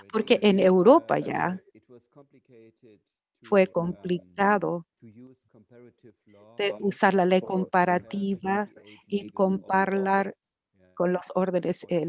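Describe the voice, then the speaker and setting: Slow, halting woman interpreting a lecture. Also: lowish voice, a little mellow. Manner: halting, with frequent restarts and self-corrections. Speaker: conference interpreter. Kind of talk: simultaneous interpretation of an academic lecture.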